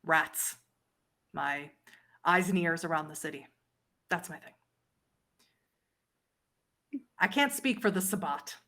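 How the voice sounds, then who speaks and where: A woman voicing a weary, wary old lady vampire informant, speaking in measured statements with dramatic pauses. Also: deep voice, sharp tone, exaggerated voice. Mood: guarded, ominous. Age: older.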